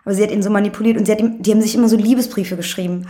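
Candid woman chatting in a medium-pitched voice. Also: fairly even intonation, rapid-fire, warm tone. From Berlin, Germany. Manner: colloquial, candid. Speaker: interview guest, music promoter. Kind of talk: casual chat, personal storytelling.

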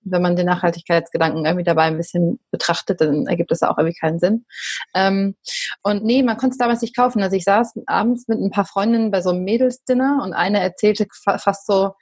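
Chatty woman from Berlin, husky. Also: mid-range voice, fairly even intonation, fast talker, darkish tone, no room sound. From Berlin, Germany. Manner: chatty storyteller with many fillers and laughs. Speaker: podcast guest, company founder. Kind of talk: casual interview talk.

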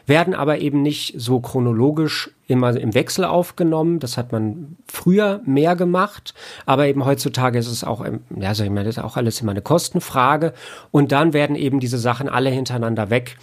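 Male podcast guest, medium pitch, hesitant conversational delivery with many fillers. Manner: hesitant, halting with very frequent filler sounds. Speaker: interview guest, audio editor and producer. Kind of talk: casual interview chat. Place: home studio, remote connection.

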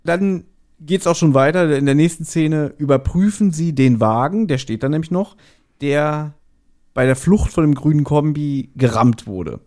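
A man hosting a podcast, casually retelling a story in an animated way and acting out short quotes. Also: a little high, normal speed. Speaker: podcast host. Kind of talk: casual chatty episode recap.

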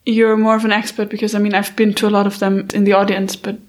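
A woman's voice: mid-range voice, flat delivery, fast talker. A woman co-hosting a podcast, asking the guest careful questions. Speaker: podcast co-host.

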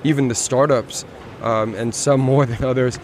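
Relaxed man chatting at a car show. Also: medium pitch, normal speed, a little hiss or hum. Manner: relaxed, rambling with restarts. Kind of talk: casual walkaround chat. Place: busy motor show hall.

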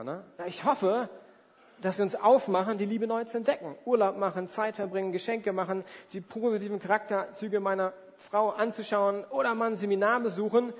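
Male preacher, forties, high-pitched voice, conversational sermon, emphatic, rhetorical questions, long hall reverb. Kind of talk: sermon with everyday examples and acted-out asides.

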